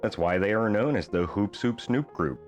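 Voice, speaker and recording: A man reading a rhyming children's bedtime book aloud as an expressive storyteller. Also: on the low side, normal speed, soft background music.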